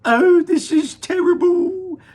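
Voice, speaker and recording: A storybook reader voicing an anxious middle-aged mother in a high, wailing, fretful voice. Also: expressive, unhurried.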